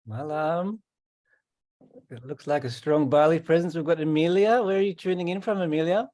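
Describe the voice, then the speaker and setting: Calm man hosting a video call. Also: fairly high pitch, moderate pace. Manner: calm, repeats words while welcoming. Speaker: host. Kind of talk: online meeting welcome.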